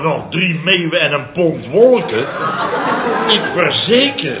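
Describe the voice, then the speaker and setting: A man's voice: fairly high pitch, echoey room, natural voice. An actor playing a blustering contractor in his fifties who tells his mishaps theatrically and chuckles through his lines. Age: 50s. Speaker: contractor boss Biels. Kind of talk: 1960s radio comedy serial. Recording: dull old broadcast recording, studio audience laughter. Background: audience laughter.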